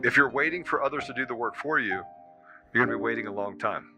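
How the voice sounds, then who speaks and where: A man's voice: mid-range voice, fast. A man giving a motivational speech, in a clip from an intro montage. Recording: montage clip under loud music.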